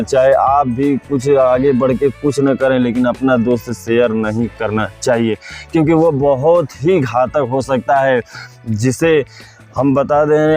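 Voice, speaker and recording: Male gossip-channel host, Bhojpuri-flavoured Hindi, medium pitch, repetitive and viewer-directed, light music bed. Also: darkish tone. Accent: Bhojpuri-influenced Hindi. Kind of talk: informal gossip commentary to viewers.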